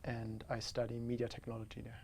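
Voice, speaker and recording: Young man, gravelly, flat delivery, relaxed. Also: mid-range voice, fairly quick. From Germany. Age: young adult. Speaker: guest, international student. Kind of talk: casual interview answers.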